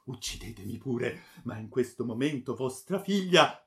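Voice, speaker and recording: A man voicing a grim, spiteful friar who pleads and insinuates haltingly in a story. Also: mid-range voice, lively intonation, creaky, a bit bright. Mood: spiteful, sorrowful.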